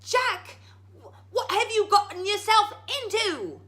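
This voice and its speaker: Woman acting a high-pitched, fretful storybook mother. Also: very animated, a little slow, sharp tone, exaggerated voice. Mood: alarmed scolding. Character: worried, doting widowed mother of Jack. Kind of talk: storybook read-aloud.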